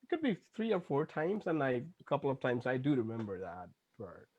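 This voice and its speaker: A man from Pakistan, a music producer, chatting over a video call in a relaxed, rambling way with many fillers. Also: medium pitch, a little fast, no echo, highs cut off. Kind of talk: casual chat. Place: Toronto.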